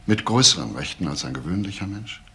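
A male actor playing a deliberate, insistent police investigator in a crime radio play. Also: low voice, expressive, pushed and bright, breathy edge, exaggerated voice. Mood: insistent, pointed. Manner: deliberate, pointed, questioning. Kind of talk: radio crime drama acting.

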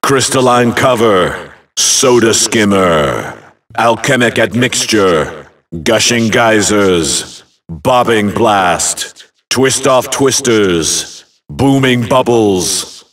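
Slow, low-voiced dramatic game announcer. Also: male voice, lively intonation, a bit bright, distant mic, exaggerated voice. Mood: dramatic announcing. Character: booming, dramatic male arena announcer. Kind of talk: dramatic video game announcer calling out names.

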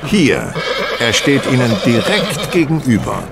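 An actor playing a self-assured, coolly superior detective in a German radio play, explaining with courteous precision and theatrical flair. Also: male voice, mid-range voice, wildly swooping pitch, a little slow, creaky, very noisy, exaggerated voice. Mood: calm, teasing. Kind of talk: German audio drama acting.

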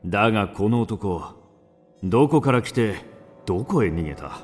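An actor playing a businesslike police detective in a radio drama, terse and authoritative, with clipped, deliberate delivery. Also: male voice, fairly low pitch, expressive, soft background music. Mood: stern, clinical.

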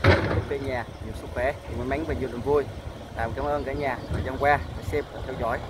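A man in his thirties from Vietnam talks quickly and casually to his viewers as he fishes from a small boat beside a pier. He holds the camera at arm's length out on the open water. The outdoor audio is noisy, with wind and water behind his voice. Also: mid-range voice, steady tone. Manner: chatty, keeps addressing viewers as family. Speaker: fishing vlogger. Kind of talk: casual vlog narration. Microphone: action camera held at arm's length. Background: wind, water and boat noise.

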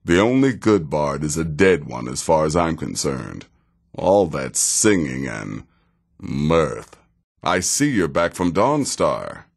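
A sardonic veteran assassin from a fantasy game, with a very low voice. He speaks with dry, deliberate sarcasm, and his pitch swings expressively as he needles his listener. He sounds world-weary and hard to impress. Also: big pitch swings, gravelly, full character voice. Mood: businesslike, wry. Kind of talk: scripted fantasy video game dialogue.

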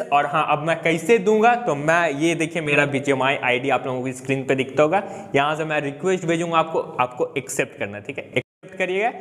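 A man's voice: mid-range voice, big-room sound. A young man casually talking viewers through a game update and a giveaway draw, chatty and friendly, calling them brother. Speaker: gaming YouTuber. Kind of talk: casual screen-recorded giveaway commentary.

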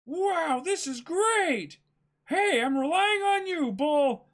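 A man voicing a cheerful, impulsive young pirate captain from a comic, with an excitable, exclaiming delivery. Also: extremely high pitch, slow pace, voice pushed up, heavily acted. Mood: excited.

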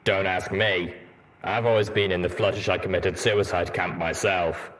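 A man voicing a blunt, world-weary armored pony soldier in a measured, matter-of-fact way for a storybook reading. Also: deep voice, steady tone, a bit bright. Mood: grim.

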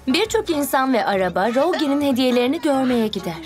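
A woman's voice: sits high, animated, fast talker. A woman narrator reading scripted storytelling lines for a children's cartoon. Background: children's music.

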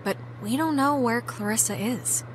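A woman's voice: on the high side, normal speed, slight background noise, lightly acted, rough recording. A young American woman playing a wry, caring, level-headed teenage girl in a video game. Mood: reasoning. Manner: wry, conversational. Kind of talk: naturalistic video game dialogue. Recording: music behind the voice.

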